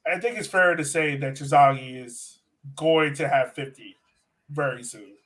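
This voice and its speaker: Guy hosting a casual sports podcast, voice kinda high, conversational and questions himself a bit. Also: a little slow, a bit bright. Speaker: podcast host. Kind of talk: casual sports fan chat.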